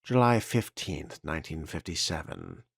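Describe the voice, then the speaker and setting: Man reading as a deep, solemn 1950s foreman. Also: huge pitch swings, gravelly, husky, no echo, clean studio sound, heavily acted. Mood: uneasy, haunted. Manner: grave, formal, measured. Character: solemn, uneasy 1950s male city waterworks foreman. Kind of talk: dramatic reading of a written log. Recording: music behind the voice.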